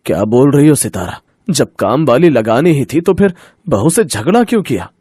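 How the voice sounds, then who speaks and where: A man's voice: medium pitch, very animated, moderate pace, mellow, husky, no echo, clean studio sound. A man playing a worried middle-aged husband and father in a cartoon story, fretful and emphatic. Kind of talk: Hindi cartoon moral story acting.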